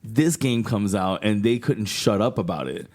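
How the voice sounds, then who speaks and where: Excitable, laughing male podcast host. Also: lowish voice, moderate pace. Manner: excitable fan talk, slangy, laughs often. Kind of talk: casual interview chat.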